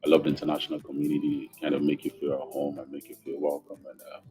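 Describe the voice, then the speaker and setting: Very deep, quick young Nigerian man. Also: completely flat delivery, mellow, soft background music. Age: young adult. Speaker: student giving a testimonial. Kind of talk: unscripted testimonial. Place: indoor lounge.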